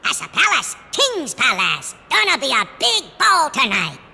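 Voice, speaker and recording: An actor playing a tiny, good-hearted cartoon mouse in a video game, chirpy, with broken grammar and repeated words. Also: male voice, very high-pitched, huge pitch swings, a little slow, gravelly, full character voice. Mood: excited. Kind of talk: animated video game dialogue. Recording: loud music behind the voice.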